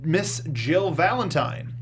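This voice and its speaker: A man's voice: fairly high pitch, animated, slow, a bit bright. A man hosting a casual panel chat and steering the discussion, with a faint background hum.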